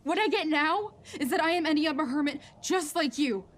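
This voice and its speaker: A woman's voice: high-pitched, expressive, fairly quick. A woman playing a bitter, frightened daughter in a sci-fi audio drama. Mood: bitter, resentful.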